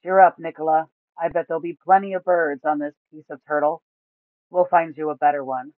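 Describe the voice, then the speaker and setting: A woman's voice: deep voice, steady tone, brisk, no room sound, phone-quality sound, lightly acted. A woman reads as a cheerful swordsman in a fantasy storybook. He is casual and lightly teasing, in an everyday voice heard close and dry.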